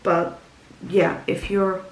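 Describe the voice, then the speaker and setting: Young woman, rambling book chat, lots of ums, lowish voice, roomy echo. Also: level tone, slow, a little hiss or hum. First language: Dutch. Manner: rambling, frequent ums and restarts. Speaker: book vlogger. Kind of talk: casual book talk to camera. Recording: roomy echo, faint ambience.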